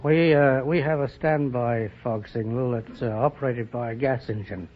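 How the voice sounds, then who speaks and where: A man from northern Wales gives polite, hesitant answers in a mid-pitched voice. He is a contestant on a dull, faintly hissy 1940s radio show. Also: moderate pace. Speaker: quiz contestant, lighthouse keeper.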